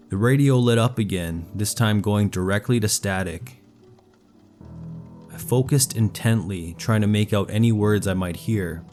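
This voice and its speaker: A man's voice: fairly low pitch, a little mellow. A man reading a scripted first-person horror story as its narrator.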